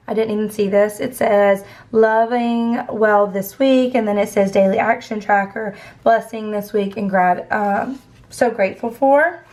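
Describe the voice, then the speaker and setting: Young woman, US, hoarse from laryngitis, upbeat show-and-tell haul vlogger, faint hiss and clicks behind. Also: a little high, a little mellow. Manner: chatty, describing items as she flips through them. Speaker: planner vlogger. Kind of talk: casual product haul.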